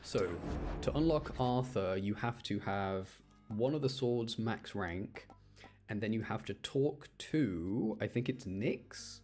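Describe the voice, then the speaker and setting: A man with a fairly low voice chats through a video game as he streams it. He talks informally, working things out aloud as he goes. Game music plays clearly behind him. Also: lively intonation, slow pace, darkish tone. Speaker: livestreamer. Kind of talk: casual gaming commentary.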